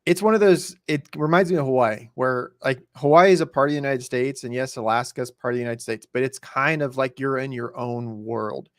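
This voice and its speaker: A man's voice: medium pitch, a little fast, few pauses, no room sound, studio quality. A man co-hosting a podcast, explaining things in casual, colloquial talk. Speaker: podcast co-host. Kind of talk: casual chat, explaining news.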